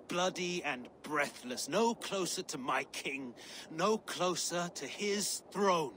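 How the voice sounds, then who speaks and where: A proud Saxon soldier in a dramatic game. His high-set man's voice moves slowly and with stately defiance, declaiming like a loyal servant of his king. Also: a bit bright, slight background noise, exaggerated voice. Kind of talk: dramatic video game acting.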